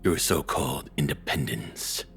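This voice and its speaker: Male actor as cruel possessive ex-boyfriend, extremely low voice, taunting, domineering, intimate roleplay monologue, music underneath. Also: lively intonation, vocal fry, heavily acted. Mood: scornful. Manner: taunting, domineering, slow deliberate menace. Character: controlling, cruel, menacing abusive ex-boyfriend.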